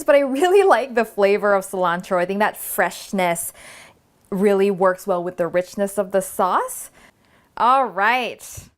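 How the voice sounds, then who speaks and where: A woman hosting a cooking video, chatting casually and encouragingly as she cooks at the stove in a kitchen. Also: mid-range voice. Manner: chatty, encouraging, thinking aloud while cooking. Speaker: cooking show host. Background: food sizzling in a pan.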